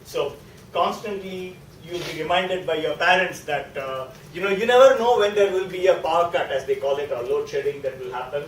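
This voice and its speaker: A man's voice: a little high, moderate pace. A man from southern India with a South Indian accent, a native speaker of a South Indian language, giving an informal, explanatory guest lecture and often checking in with his listeners. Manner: conversational and explanatory, often checking in with a tag question. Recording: echoey room, distant mic, faint noise.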